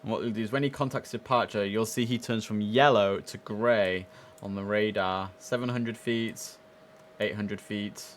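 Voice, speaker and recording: A man's voice: on the low side, steady tone, moderate pace, slight background noise. Male game streamer, informal and chatty, talking through tower control work over noticeable vehicle ambience. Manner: chatty, informal, thinks aloud. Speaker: streamer playing an air traffic control simulator. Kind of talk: casual live gameplay commentary.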